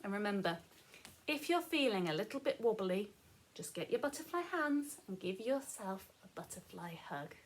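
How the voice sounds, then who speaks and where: A woman reading a story expressively for young children, speaking to them simply in a gentle, reassuring way. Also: mid-range voice, sing-song, moderate pace.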